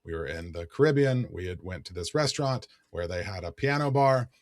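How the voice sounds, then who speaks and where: A man co-hosting a podcast, telling a story in casual chat, his voice a little raspy from singing. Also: low-pitched, a little fast, no room sound, studio quality. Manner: animated storyteller, jokey. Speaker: podcast co-host.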